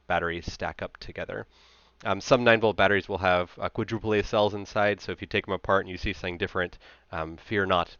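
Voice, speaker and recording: A man gives an informal technical talk in a notably low voice, explaining things step by step in a conversational way. He sounds like a conference presenter on a headset. The recording is very dry and a little muffled. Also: a bit bright, clear, resonant tone, no echo.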